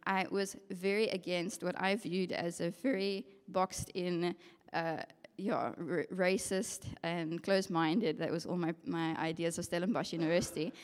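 A young woman with a South African English accent tells her personal story to a congregation, earnest and reflective, often pausing on "um" and "yeah". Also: fairly low pitch, steady tone. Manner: earnest, reflective storytelling with frequent ums and yeahs. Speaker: occupational therapist giving a testimony. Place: church hall with a masked audience. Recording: long hall reverb.

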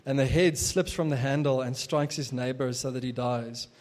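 A man's voice: mid-range voice, fairly even intonation, moderate pace, darkish tone. A young adult man whose first language is Afrikaans, preaching a casual sermon as pastor, with many fillers and restarts.

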